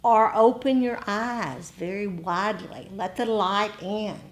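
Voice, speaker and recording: Slow-paced American woman teaching meditation. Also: mid-range voice. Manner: conversational, pausing often between short phrases. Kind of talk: informal dharma talk to a group.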